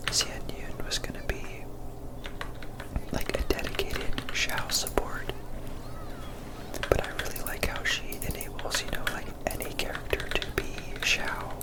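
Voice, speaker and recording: A person whispering casual, rambling ASMR commentary over video gameplay, in a breathy, unvoiced voice close to the microphone. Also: low-quality recording. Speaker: video game streamer.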